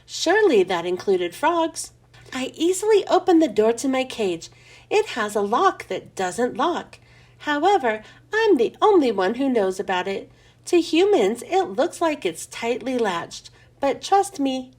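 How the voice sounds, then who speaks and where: High, animated woman reading a children's story. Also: unhurried. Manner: animated, storytelling for young listeners. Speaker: children's book read-aloud host. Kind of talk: expressive scripted children's story narration.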